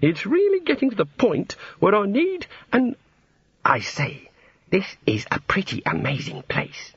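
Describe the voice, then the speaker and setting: A man's voice: high-pitched, wildly swooping pitch, unhurried, creaky, slightly rough, no echo, muffled recording, voice pushed up, heavily acted. A man voicing a vague, distracted, fussy god who dithers and rambles absent-mindedly in an audiobook story. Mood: flustered.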